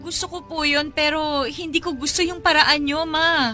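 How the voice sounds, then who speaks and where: A woman's voice: sits high, fairly even intonation, moderate pace, sharp tone, faint music behind. A young woman playing a reluctant, dutiful daughter in a radio drama, pleading timidly and respectfully. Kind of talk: Tagalog radio drama acting.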